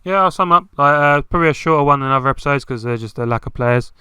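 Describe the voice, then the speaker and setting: A man's voice: medium pitch, quick pace, sharp tone, smooth, no echo. A young man co-hosting a casual football chat, talking loosely with many fillers. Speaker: podcast co-host.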